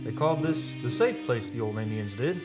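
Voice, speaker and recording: A man playing a brooding, superstitious painter in a radio drama, with grave, poetic, deliberate phrasing. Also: mid-range voice, expressive, over loud music, close to an everyday voice. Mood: brooding, wondering. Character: brooding, superstitious painter from an old seafaring people. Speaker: actor. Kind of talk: radio drama dialogue, lyrical.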